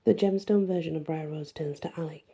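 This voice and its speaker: A woman's voice: deep voice, steady tone, fairly quick, warm tone, slightly rough. A woman storyteller reading scripted first-person fantasy narration in an ASMR style.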